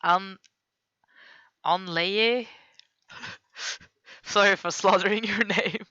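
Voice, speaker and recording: A woman with a Swedish accent chats her way through fan videos on a live stream, slow and unhurried, laughing and apologizing as she stumbles over names. Her voice is bright and forward. The dry, dull mic makes it sound like a lo-fi home setup. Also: mid-range voice, fairly even intonation, no echo. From Sweden. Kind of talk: casual live-stream commentary.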